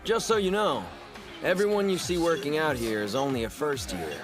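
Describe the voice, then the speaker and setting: Man voicing a lively, smooth-talking schoolboy. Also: on the high side, normal speed, over music, natural voice. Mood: composed. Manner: polite but sly, self-assured. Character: smooth-talking, confident teenage male sumo club member. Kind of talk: anime dub acting.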